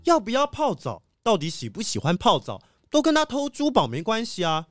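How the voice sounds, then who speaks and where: A woman plays an earnest wolfdog sheriff in a children's radio play. The voice leaps up and down excitably, with a Taiwanese accent and light music underneath. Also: a little high, fairly quick, no room sound, clean studio sound. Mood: puzzled. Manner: exclamatory, earnest. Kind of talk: children's audio drama acting.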